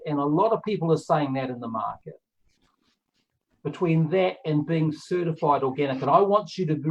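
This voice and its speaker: A man with a New Zealand accent, a company managing director giving a presentation on a video call, speaking slowly and deliberately. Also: a little high. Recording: dull lo-fi video call audio.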